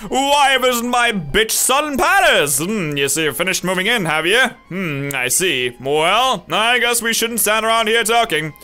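A man's voice: high voice, expressive, measured pace, few pauses, sharp tone, soft background music, heavily acted. Man doing a scornful profane gym-leader dad. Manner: contemptuous, profane, drawling. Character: gruff scornful father, a male gym leader.

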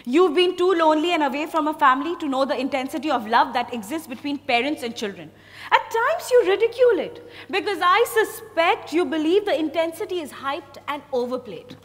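Passionate, insistent young Indian daughter, acted by a woman, very high, swooping voice, theatrical stage reading. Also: lively intonation, fairly quick. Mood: heated. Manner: theatrical, emphatic. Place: on stage in a large hotel ballroom. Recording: echoey ballroom stage, noisy background.

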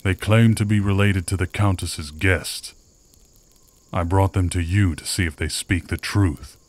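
A brutish werewolf henchman, acted by a man in a fantasy ASMR roleplay. He has a very low voice and drags out his words with hungry menace. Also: steady tone. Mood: menacing, hungry. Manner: slow, menacing.

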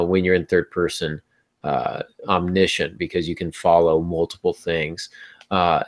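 An American man in middle age with a fairly low voice talks as a podcast host. He explains things in a conversational way, as if on a live video call from a small room at home. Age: middle-aged.

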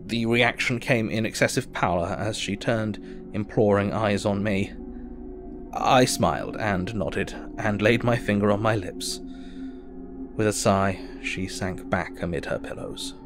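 A weary Victorian doctor reading his diary in an audio drama. His voice is lowish, with measured, formal and reflective delivery over clear music. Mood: tender reflective. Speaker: actor.

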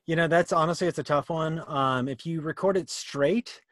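A man's voice: a little high, normal speed, fairly bright tone, dry recording, studio quality. A middle-aged American man answering questions in a conversational, explanatory way on a video call. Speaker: workshop presenter answering questions. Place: indoor room, on a video call.

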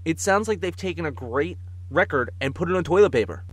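A man co-hosting a podcast in casual comic chat, telling stories in an animated way and chuckling as he talks. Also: on the high side, brisk, slightly rough, dry recording. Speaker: podcast co-host.

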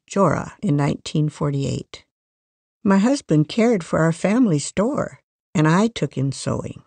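A gravelly voice reads a first-person life story at a measured, even pace. The sound is close and dry, with no room around it. The delivery stays scripted and steady throughout. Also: medium pitch, no room sound. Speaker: reader of a magazine life story.

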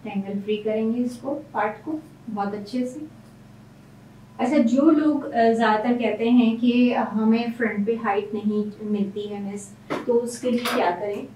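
A woman's voice: on the high side, fairly even intonation, a little slow, warm tone, roomy sound, recorded from a distance, a little hiss or hum, low-quality recording. A woman hairstylist explaining each step of a hairstyle as she works in a salon. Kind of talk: hairstyling tutorial. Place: salon room.

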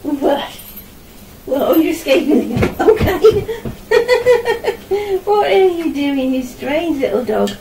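High-voiced, creaky middle-aged woman. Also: lively intonation, slow pace, pauses often, noisy background. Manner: chatty, talking to herself as she works. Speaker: artist and vlogger. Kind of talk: casual narration while painting. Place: small home art studio, indoors.

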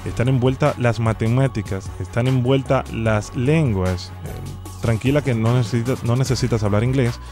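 A young Dominican man hosting a prank call, speaking in a deliberate, formal, official-sounding way into a close studio microphone. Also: medium pitch, dark tone, soft background music. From Dominican Republic. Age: young adult. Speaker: prank call show host. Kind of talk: phone prank, posing as an official. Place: small home studio. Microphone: close studio microphone on boom arm.

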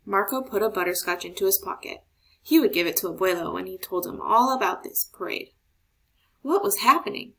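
A woman reads a children's story aloud. Her delivery is expressive, in an average-pitched voice with a clearly creaky edge. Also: fairly quick. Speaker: storybook reader.

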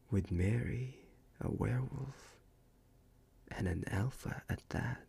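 A man's voice: fairly low pitch, gravelly, dark tone, husky, full character voice. A man voicing a possessive, doting alpha werewolf boyfriend in an ASMR roleplay, intimate and suave, slipping into whispers. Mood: proud, smug. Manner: slow, intimate, partly whispered, suave and condescending. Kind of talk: ASMR romance roleplay acting.

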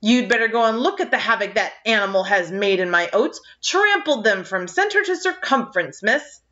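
A woman's voice: extremely high pitch, huge pitch swings, slow pace, pushed and bright, pitched above the performer's own voice, full character voice. A woman doing a spluttering, furious old farmer. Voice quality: spluttering, blustering. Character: irate short fat older man farmer, spluttering. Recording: slightly dull lo-fi recording.

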